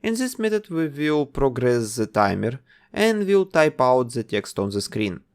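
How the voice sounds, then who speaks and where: A man narrating a coding tutorial, calmly giving instructions one step at a time. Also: medium pitch, measured pace, smooth. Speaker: game development tutor.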